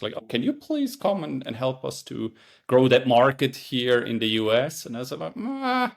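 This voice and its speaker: A middle-aged man from Switzerland with a Swiss accent, a startup founder answering interview questions in an earnest, halting way with restarts. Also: mid-range voice, vocal fry. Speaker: podcast guest, startup founder. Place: California.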